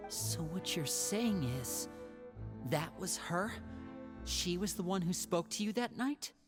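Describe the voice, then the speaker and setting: A man voicing a composed, devoted young big brother in a video game, speaking deliberately, smoothly and from the heart. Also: high-pitched. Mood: probing, serious. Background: music.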